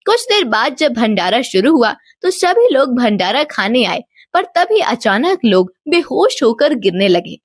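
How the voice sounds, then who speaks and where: A woman's voice: on the high side, very animated, a little slow, few pauses, slightly rough, dry recording, clean studio sound. A woman storyteller giving scripted narration of a moral tale.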